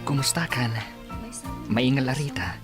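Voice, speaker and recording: A man's voice: medium pitch, steady tone, measured pace, loud music behind the voice, close to an everyday voice. A man acting a generous, playful suitor who flirts and teases in a radio comedy drama. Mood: flirty.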